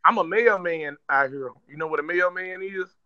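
An American mailman in casual conversation, relaxed and slangy, who stammers at the start of sentences. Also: sits high, moderate pace, smooth, no room sound, muffled recording. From United States.

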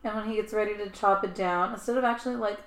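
A woman's voice: mid-range voice, fairly even intonation, fast, reverberant, low-quality recording. A woman co-hosting a podcast, telling stories in a chatty, casual way with lots of "like". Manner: chatty storytelling, frequent "like". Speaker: podcast co-host. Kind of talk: casual chat.

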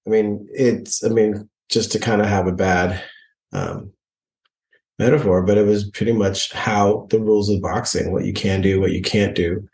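A man co-hosting a relationship podcast, speaking reflectively and haltingly with frequent restarts. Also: lowish voice, steady tone, gravelly, darkish tone, roomy sound, recorded from a distance, low-quality recording. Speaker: podcast co-host. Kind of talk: casual couple chat.

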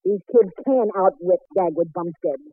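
A man's voice: sits high, normal speed, no echo. An actor playing a bumbling, flustered American husband and father, hesitant and stammering, in an old-time radio sitcom. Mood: harried. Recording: tinny, band-limited old radio recording.

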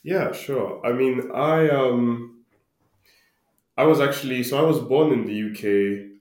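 A man's voice: mid-range voice, measured pace, darkish tone. A man in his late twenties from Ghana, answering casually as a podcast guest in an informal, laid-back way. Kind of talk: casual conversational interview answers. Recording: roomy, echoey voice recording.

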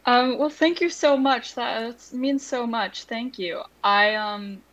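A young woman, a musician guest in an interview, speaking softly and hesitantly with many ums and likes. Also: a little high, takes it slowly, lo-fi sound. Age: young adult. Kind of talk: conversational interview.

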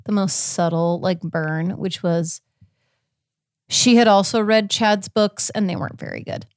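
A woman hosting a podcast in casual chat, recounting a case informally with a halting, filler-heavy delivery. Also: mid-range voice, dry recording, studio quality. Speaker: podcast host.

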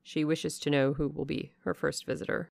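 A woman's voice: deep voice, steady tone, normal speed, gravelly, no room sound, studio quality. A woman doing a blunt male footman. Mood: plain.

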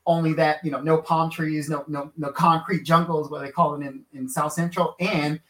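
A man's voice: fairly high pitch, flat delivery, normal speed, fairly bright tone. A man from Kansas, an author and lawyer, telling his life story in a casual interview, rambling with lots of fillers and restarts. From Kansas, USA. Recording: echoey room.

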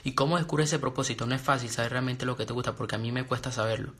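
A man's voice: medium pitch, steady tone, very fast, a bit bright. A young man from Venezuela giving an earnest, rambling motivational talk to viewers, full of restarts and fillers. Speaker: personal development and trading coach. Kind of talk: casual live-stream talk to viewers.

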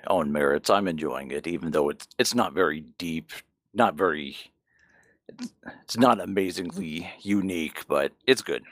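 A man's voice: low voice, lively intonation, moderate pace, dry recording, studio quality. A man co-hosting a podcast, chatting casually and frankly about games and manga, with some swearing. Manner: profane, frank. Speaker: podcast co-host.

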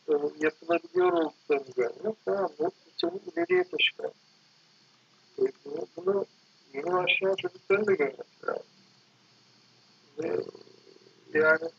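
A man talking casually and reflectively in an interview, halting and digressive, often correcting himself. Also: a little high, creaky, fairly bright tone, slightly rough, highs cut off. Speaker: architect guest being interviewed.

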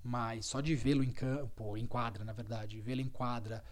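A man, co-hosting a podcast and telling a story in a relaxed, rambling way. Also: medium pitch, normal speed. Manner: relaxed storyteller, trailing filler words. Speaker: podcast co-host. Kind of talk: casual podcast chat.

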